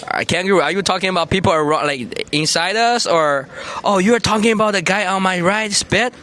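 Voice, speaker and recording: A man from China with a Chinese accent, chatting easily on a street livestream in halting second-language English with frequent restarts. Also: high voice, a crowd in the background. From Taizhou, Zhejiang, China. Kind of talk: casual street livestream chat. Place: outdoors on a busy city street. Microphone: phone.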